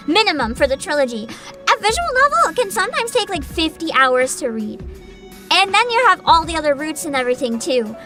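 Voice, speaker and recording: A woman's voice: very high voice, bright, forceful tone. A woman chatting casually as a livestream host, informal and rambling, with a giggly way of talking. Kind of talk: casual livestream chat, explaining and riffing to viewers.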